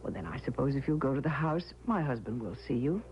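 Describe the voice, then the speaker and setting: An actress playing an anxious, inquisitive, devoted wife in an old radio drama, expressive and earnest. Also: female voice, very deep voice, fast talker, slight background noise. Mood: reflective, uneasy. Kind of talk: old-time radio drama acting with first-person narration. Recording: dull old radio with music.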